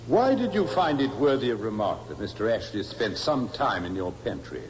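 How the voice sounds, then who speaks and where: Stern, insistent defense counsel, 1950s radio drama, muffled and hissy old recording. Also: male voice, lowish voice, very animated, normal speed, in a large hall. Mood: pressing. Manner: formal, pressing, insistent. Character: stern, relentless male defense barrister cross-examining witnesses.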